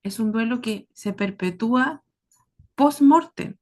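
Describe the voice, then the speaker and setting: A woman with a Chilean accent giving a reflective monologue to her listeners, speaking earnestly and deliberately in short, paused phrases. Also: fairly high pitch, darkish tone. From southern Chile. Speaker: host of a series about caregiving.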